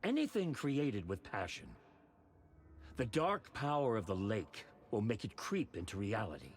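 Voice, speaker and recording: Swaggering old rock star mentor, slow drawl, voice swoops around, cryptic game character. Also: male voice, fairly low pitch, sing-song. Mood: proud, mystical. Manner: theatrical, rhythmic, drawn-out proclamations. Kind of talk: dramatic video game voice acting.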